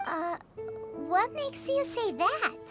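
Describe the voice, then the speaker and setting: A woman voicing a small, cheerful, childlike girl companion in a video game, squeaky and exclamatory. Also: sing-song, slow, full character voice. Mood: curious, agreeable. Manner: refers to self in third person, exclamatory. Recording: music playing behind.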